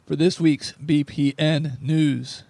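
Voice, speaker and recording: A man hosting a sports podcast who has lost most of his voice and sounds hoarse, talking in a rambling, self-deprecating way. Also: on the high side, steady tone, slow pace, noisy background. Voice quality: gravelly. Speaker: podcast host. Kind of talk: casual sports podcast chat.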